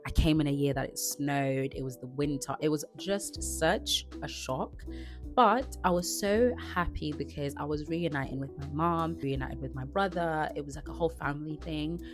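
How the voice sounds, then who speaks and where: A woman of twenty-five from Zimbabwe, chatting casually to camera as a vlogger, relaxed and chatty. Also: deep voice, normal speed, music in the background. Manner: chatty and relaxed, with frequent "like" and restarts.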